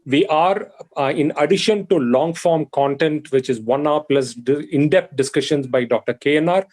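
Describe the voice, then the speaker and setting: A man's voice: fairly high pitch, normal speed, a little mellow. A man hosting an interview, summarizing the guest's points in a polished, appreciative way. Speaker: interview host. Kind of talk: interview host wrap-up.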